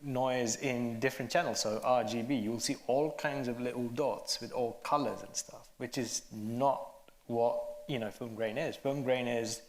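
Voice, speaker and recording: A man's voice: mid-range voice, steady tone, measured pace. A man, a cinematographer, informally explaining technical matters on a panel, recorded with roomy, echoing sound.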